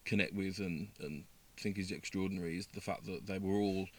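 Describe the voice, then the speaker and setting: A man with a Birmingham accent speaks in a very low voice. He talks casually about playing a role on stage, pausing with ums and restarting his sentences often. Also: fairly even intonation, a little mellow. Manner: hesitant, many fillers and restarts. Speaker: actor in a stage play. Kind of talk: casual interview chat.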